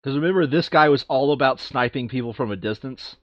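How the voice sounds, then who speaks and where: A man's voice: mid-range voice, a little fast, no echo, highs cut off. A man chatting casually and thinking aloud while he plays a board game. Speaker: board game player on a playthrough video.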